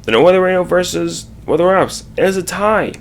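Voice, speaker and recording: A man reading a scripted slide presentation aloud, haltingly, correcting himself and adding asides. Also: high voice, vocal fry.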